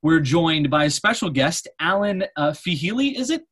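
A man in his twenties from America, hosting a casual podcast and talking in a halting way with many pauses and fillers. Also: a little high, sing-song, a bit bright. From United States. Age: 20s. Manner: hesitant, lots of fillers. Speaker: podcast host.

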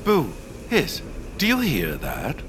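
An actor playing a grandiose, scheming villain who declaims pompously in a theatrical audio drama. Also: male voice, a little high, wildly swooping pitch, takes it slowly, a bit bright, a little hiss or hum, full character voice. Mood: gloating, scheming. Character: grandiose scheming male villain, gloating tower owner. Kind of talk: theatrical audio drama acting with musical numbers.

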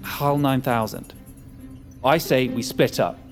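A man's voice: mid-range voice, slow pace, soft background music, close to an everyday voice. An actor playing a suspicious, defiant man who argues and takes command of a trapped group in an audio fiction drama. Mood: urgent, plotting. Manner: argumentative, commanding, sarcastic.

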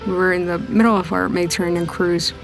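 Low-pitched, slightly creaky woman storyteller. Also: flat delivery, fast talker, soft background music. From Lima, Peru. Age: late 30s. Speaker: storytelling guest, navy officer and psychiatrist. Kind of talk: personal storytelling.

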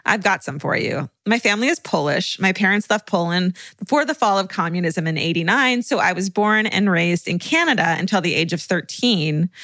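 A woman's voice: on the low side, lively intonation, brisk, hardly any pauses, bright, forceful tone, no echo, low-quality recording. A woman co-hosting a podcast, reading listener letters and chatting expressively, laughing easily and swearing. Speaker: podcast co-host.

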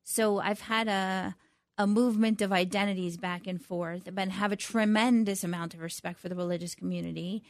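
A middle-aged woman speaking as an interview guest, reflective and halting, often restarting her sentences. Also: mid-range voice, steady tone, no echo. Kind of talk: conversational interview.

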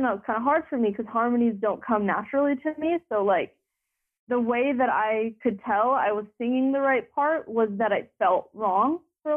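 A young woman speaking as an interview guest, rambling with many filler words and restarts. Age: young adult. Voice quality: deeper voice. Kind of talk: conversational interview. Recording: phone line.

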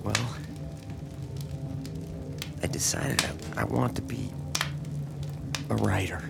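An actor playing a lonely, timid small-town man in an audio drama, speaking haltingly with stammers and self-deprecation. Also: on the low side, creaky, heavily acted. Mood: shy, confessing. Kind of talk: naturalistic audio drama acting. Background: music behind the voice.

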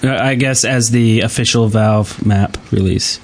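A man's voice: lowish voice, steady tone, measured pace, slight background noise. A man co-hosting a podcast, chatting casually and informally with the group. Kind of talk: casual chat.

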